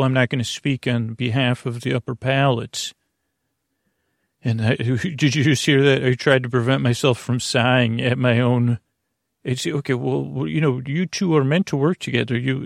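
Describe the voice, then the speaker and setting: Male bedtime podcast host, gravelly fry, close dry sound, halting and circuitous, soothing. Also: mid-range voice, no room sound. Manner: meandering, halting with many trailing-off repeats; gentle, reassuring. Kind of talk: rambling, whimsical bedtime monologue.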